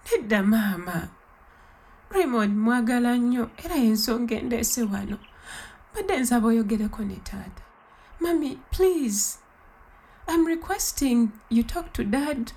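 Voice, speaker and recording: A woman playing an anguished young woman in a radio play, speaking in a halting, dramatic way. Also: on the high side, lively intonation, darkish tone. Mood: pleading. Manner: slow, halting, dramatic delivery. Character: anguished young woman singer, shamed daughter pleading with parents. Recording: music behind the voice. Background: music.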